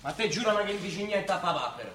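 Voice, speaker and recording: A man's voice: high-pitched, animated, racing. An actor playing an eager, scheming young labourer with a Roman accent, coaxing his mother colloquially in a dialect stage comedy. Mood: coaxing, cagey. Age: young adult. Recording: roomy stage sound, faint noise.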